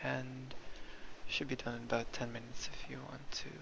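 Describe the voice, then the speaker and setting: A man's voice: medium pitch, level tone, moderate pace, gravelly, background noise. A man giving casual playthrough commentary, thinking aloud in a hesitant way as he plays. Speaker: gameplay video host. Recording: dull lo-fi mic under game music. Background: game music.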